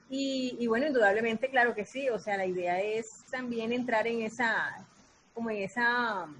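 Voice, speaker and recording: High-pitched Colombian woman, noisy call. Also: fairly bright tone. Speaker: radio theatre collective member. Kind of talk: casual, loosely structured discussion. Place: online video call. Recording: noisy video-call audio with room ambience.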